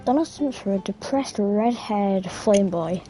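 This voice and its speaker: A woman's voice: medium pitch, lively intonation, a little slow, warm tone, rough recording. A woman giving casual, rambling gameplay commentary in an offhand way. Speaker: gamer playing through a quest. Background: faint game music.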